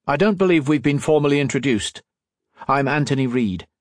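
A man voicing a courteous, inquisitive young gentleman doctor in an audiobook story, with a polite, formal and chatty manner. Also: medium pitch, lively intonation, a little fast, no room sound, voice pushed up, lightly acted. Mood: friendly, curious.

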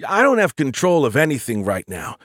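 A man's voice: mid-range voice, huge pitch swings, a little fast, no echo, studio quality, pitched above the performer's own voice. A man voicing a laid-back surfer-dude ship computer in an audiobook, slangy, hesitant and full of fillers. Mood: alarmed, dazed.

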